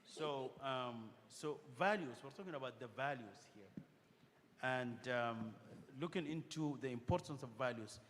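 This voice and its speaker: A man's voice: mid-range voice, unhurried, fairly bright tone. A man moderating a panel, summarizing points and prompting speakers in a loose, conversational way with many fillers. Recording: hall reverb with faint background noise.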